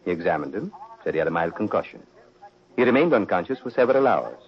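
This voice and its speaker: An actor playing the Devil as a suave, sinister prince, unhurried and mockingly polite, in the manner of 1940s radio mystery. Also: male voice, low-pitched, very animated. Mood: composed, explaining. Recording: dull old-time radio recording.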